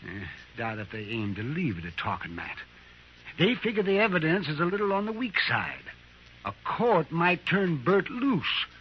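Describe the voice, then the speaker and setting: A crusty, lively old frontier doctor. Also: male voice, on the high side, moderate pace. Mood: wry, worried. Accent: American. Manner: folksy, grumbling. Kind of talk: old-time radio drama acting. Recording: dull, noisy vintage radio recording.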